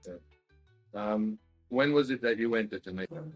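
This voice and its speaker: Low-voiced male interviewer. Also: moderate pace, husky, soft background music. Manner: calm, direct questioning. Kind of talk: interview questions.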